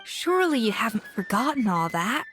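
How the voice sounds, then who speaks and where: A woman's voice: a little high, very animated, a little fast, faint music behind. A woman acting a proud, demanding former head chef in a video game, blunt and emphatic like a tough mentor. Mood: scolding, impassioned. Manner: forceful, scolding, emphatic. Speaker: voice actor.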